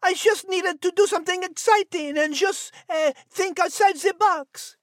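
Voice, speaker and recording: A woman's voice: extremely high pitch, moderate pace, no echo, studio quality. A woman voicing a chic female cat with a French accent, breezy in manner.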